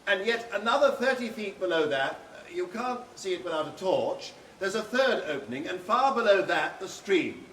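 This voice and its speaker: An actor playing a diffident, irritable British novelist in a radio drama, with clipped, dry understatement. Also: male voice, sits high, expressive, moderate pace, few pauses, fairly bright tone, roomy sound, slight background noise, low-quality recording. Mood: reluctant, explaining.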